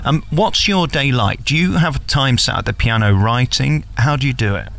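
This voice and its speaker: An English man interviews in a conversational radio style. His voice is mid-pitched with a marked gravelly creak. He is effusive and full of compliments. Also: moderate pace, a bit bright.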